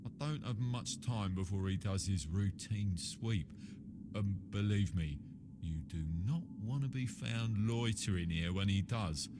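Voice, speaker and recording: An actor playing a sardonic, flippant servant of a feared boss in a video game, with wry, theatrical delivery. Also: male voice, on the low side, a little slow, natural voice. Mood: exasperated, wary.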